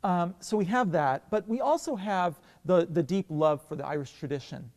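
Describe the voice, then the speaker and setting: A man with a somewhat high voice talks about his theatre work in a thoughtful, enthusiastic way. He hesitates and restarts often. The sound rings with a long reverb. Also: expressive, moderate pace, a little mellow. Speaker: theatre director and professor. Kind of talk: relaxed interview answers.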